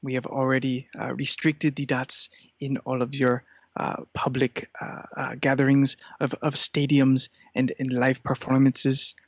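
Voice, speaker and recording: A scheming, self-important cat acted by a man speaks in a monotone, choosing each word with halting pomp. It is an improvised comedy interview on a dry, slightly muffled recording. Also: medium pitch, no room sound. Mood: pompous, scheming. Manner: halting, pompous, deliberate.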